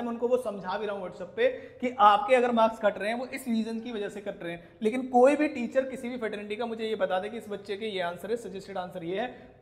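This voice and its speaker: An Indian man who teaches, ranting indignantly to viewers in an emphatic, scolding way with rhetorical questions. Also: high voice. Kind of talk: indignant video rant to viewers. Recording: echoey room.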